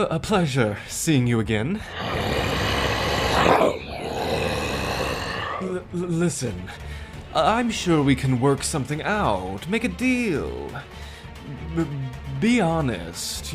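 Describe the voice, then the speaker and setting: Audio drama villain: male actor as a smarmy, cowardly broker, mid-pitched and unhurried, scheming, faint music underneath. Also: sing-song. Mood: nervous, wheedling.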